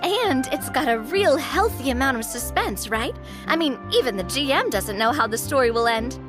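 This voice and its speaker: A woman's voice: high-pitched, animated, moderate pace. A woman voicing a playful, enthusiastic young woman in a video game, with a theatrical, exclamatory manner. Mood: cheerful, teasing. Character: bubbly, warm young woman, playful enthusiastic club leader. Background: game music.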